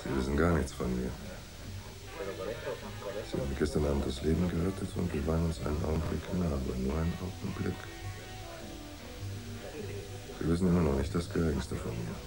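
A man's voice: very deep voice, quick pace, vocal fry, music in the background, rough recording. An actor playing a laconic private detective in a noir radio drama, terse and understated as he talks and narrates. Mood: reserved. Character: laconic hard-boiled male private detective narrating his case.

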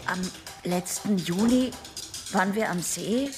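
A woman's voice: mid-range voice, measured pace, darkish tone, slight background noise, lightly acted. An actress playing a guarded young woman in a radio drama, answering her analyst politely and with restraint. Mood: guarded, restrained. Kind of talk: German radio drama acting.

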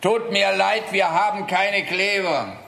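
A man's voice: high voice, takes it slowly, vocal fry, bright, forceful tone, soft background music, exaggerated voice. An actor playing a grumpy, overworked policeman in a radio crime comedy, indignant and sardonic. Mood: exasperated, indignant. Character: grumpy, overworked, exasperated male police officer. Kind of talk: German-language radio crime comedy acting.

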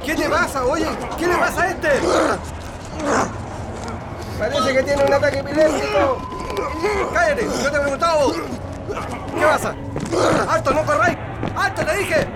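An actor playing a bullying Chilean police officer in a radio drama, shouting threatening orders. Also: male voice, extremely high pitch, steady tone, normal speed, long pauses. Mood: alarmed, angry. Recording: noisy street scene with crowd. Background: crowd and traffic noise.

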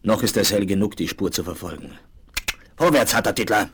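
An actor in a German radio play playing a confident, calm young Western hero, measured and commanding. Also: male voice, lowish voice, breathy edge. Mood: serious, commanding. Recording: 1970s vinyl radio play.

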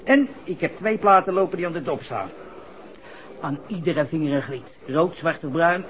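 Actor as a brash high-voiced young playboy. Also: male voice, moderate pace, a bit bright, a little hiss or hum, close to an everyday voice. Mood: cocky, boastful. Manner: slangy, boastful, dismissive. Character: brash cocky young playboy, dismissive of marriage. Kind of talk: 1960s radio drama acting. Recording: muffled vintage radio recording, music behind.

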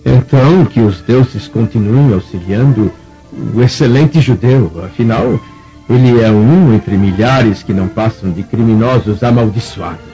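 Man acting a mellow, dignified Roman senator. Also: on the low side, lightly acted. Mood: calm, solemn. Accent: Brazilian. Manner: measured, formal. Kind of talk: Brazilian radio drama acting. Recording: muffled audio with faint music.

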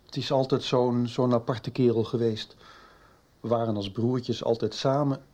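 A man's voice: medium pitch, steady tone, normal speed, a little mellow, natural voice. An actor playing a brooding, disillusioned middle-aged man in a Dutch radio soap, hesitant and pensive. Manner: hesitant, pensive, repeating words.

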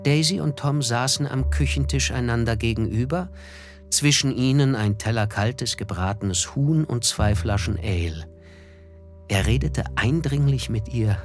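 An actor playing a reflective, observant first-person narrator in a radio drama, measured, sober and quietly disillusioned. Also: male voice, medium pitch, soft background music, close to an everyday voice.

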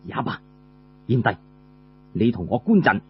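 A man's voice: mid-range voice, very animated, a little slow, dull audio, slight background noise, voice pushed down. A man voicing a proud, dutiful young general, a firm elder brother with formal, heroic speech. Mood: indignant, resolute.

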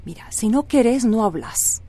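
A woman's voice: fairly high pitch, expressive, normal speed, warm tone. A Costa Rican woman playing a firm, loyal lawyer friend in a radio drama, encouraging and insistent. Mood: reassuring, firm.

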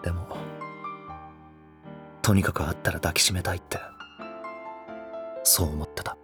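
A man acting a tall young lover in a Japanese voice drama, speaking casually, softly and intimately, devoted and a little pushy. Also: low-pitched, fairly even intonation, unhurried, over music. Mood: tender, yearning. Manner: casual masculine speech, soft and intimate.

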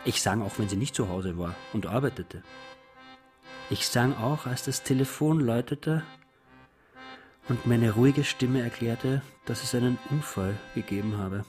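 Guy acting a sad fortyish taxi driver telling his story, measured radio play monologue, music behind him. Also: mid-range voice, close to an everyday voice. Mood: wistful. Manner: measured, reflective delivery. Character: melancholy taxi driver son, around forty, recounting his life.

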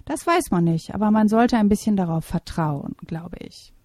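A middle-aged woman answers interview questions in a calm, reflective way, often pausing to find her words. Her voice is warm and mellow. The recording is dry and close, with no room sound. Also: mid-range voice, no room sound. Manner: thoughtful, measured, with hesitations. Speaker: interviewee, German teacher.

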